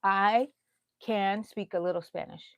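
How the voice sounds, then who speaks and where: A woman's voice: medium pitch, fairly even intonation, unhurried, clear, resonant tone, dry recording, studio quality. A middle-aged woman from Houston, chatting loosely with her livestream viewers while she crochets and answers their comments. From Houston, Texas. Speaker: crochet channel host.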